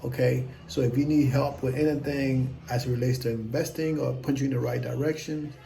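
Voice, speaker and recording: A man's voice: mid-range voice, fairly even intonation, brisk, gravelly, dark tone, low-quality recording. A man in his fifties, talking casually to camera as an investing vlogger, blunt, self-assured and rambling. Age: 50s.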